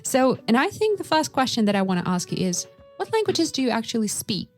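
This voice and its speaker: A woman's voice: fairly high pitch, sing-song, a little fast. Woman chatting on podcast with music behind. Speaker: podcast host, Russian teacher. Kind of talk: casual podcast chat.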